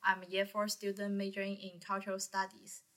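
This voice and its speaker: A woman's voice: mid-range voice, completely flat delivery, a little fast, a bit bright. A woman hosts an interview with prepared questions. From Guangzhou, China. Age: early 20s. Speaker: interview host.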